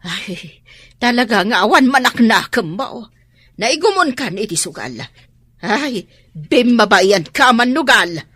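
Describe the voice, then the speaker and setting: An exasperated mother in a radio drama. Her voice is forceful and very expressive in pitch, recorded dry. She nags and reproaches as she speaks. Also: takes it slowly, husky, no room sound, heavily acted. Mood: scolding. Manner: nagging, reproachful. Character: exasperated scolding mother of a gambling grown daughter. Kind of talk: Ilocano radio drama acting.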